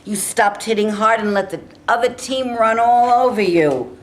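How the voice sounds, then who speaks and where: A woman's voice: medium pitch, moderate pace, close to an everyday voice. A woman playing a demanding male football coach giving an emphatic, exhorting pep talk in a staged reading. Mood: rousing, demanding. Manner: emphatic, exhorting, building to shouts.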